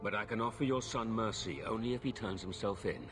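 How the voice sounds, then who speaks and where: A man's voice: lowish voice, lively intonation, a little fast, a bit bright, long reverb tail, faint music behind. An actor playing a stern, courteous male knight templar who speaks formally, in a game cutscene. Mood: grave. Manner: formal, grave.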